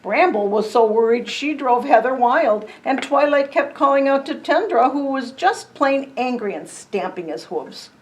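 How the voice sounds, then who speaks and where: A woman reading a children's story aloud in an expressive, dramatic storytelling manner. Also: high voice, unhurried, few pauses, rough recording. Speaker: storybook reader. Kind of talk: children's story read-aloud with chatty asides.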